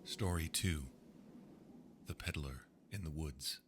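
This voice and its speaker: A man reading old ghost stories aloud in a slow, hushed storytelling manner. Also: extremely low pitch, background noise. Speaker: narrator. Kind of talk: scripted ghost story narration.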